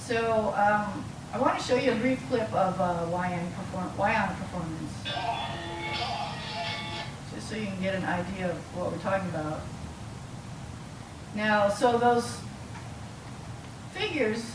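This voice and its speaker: A woman giving an explanatory academic lecture to an audience, with a halting delivery. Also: mid-range voice, music in the background. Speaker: university lecturer. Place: lecture room, mic some way off. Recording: roomy, distant mic, clearly noisy.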